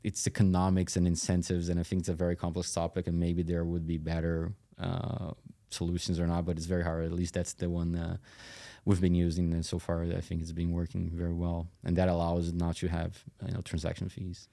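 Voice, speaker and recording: A man with a very low voice full of fry, explaining as a podcast guest. His delivery is conversational and halting, with a narrow melody that stays fairly even. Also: dry recording. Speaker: company CEO interview guest.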